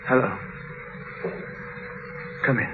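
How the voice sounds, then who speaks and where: An actor playing a tormented, tender but defeated husband in 1940s radio drama, somber and resigned. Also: male voice, drawn-out words. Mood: weary. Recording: tinny, hissy vintage radio broadcast.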